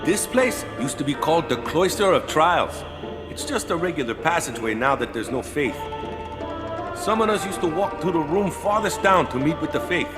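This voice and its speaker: An actor playing a blunt, easygoing older guardian in a game audio drama, with colloquial phrasing and tags like "eh". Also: male voice, on the high side, animated, moderate pace, close to an everyday voice. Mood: patient explaining. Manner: colloquial, tags sentences with "eh" and "yeah". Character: gruff, easygoing older guardian man, patient but blunt. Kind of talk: acted audio drama dialogue. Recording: music behind the voice.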